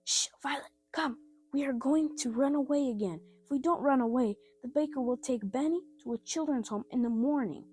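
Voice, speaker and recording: A woman voicing a caring, polite, gently bossy orphan girl, an older sister, in a storybook reading. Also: sits high, normal speed, breathy edge, voice pushed up. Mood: hushed, urgent.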